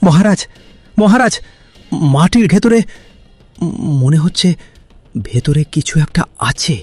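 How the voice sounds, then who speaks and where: A man playing a humble, excited village labourer in a cartoon fairy tale, calling out urgently and haltingly in a husky voice. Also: high voice, no echo, soft background music, heavily acted. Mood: startled, urgent.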